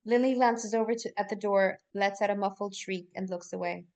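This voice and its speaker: A woman's voice: mid-range voice, fairly quick, darkish tone. A woman reading scripted stage directions aloud as narrator in a live table read.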